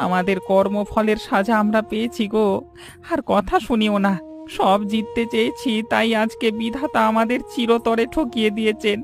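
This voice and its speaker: A man's voice: high-pitched, fairly even intonation, moderate pace, gravelly, music in the background. A man playing a remorseful, stubborn older village boatman in a cartoon drama, speaking in an earnest rustic manner. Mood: mournful.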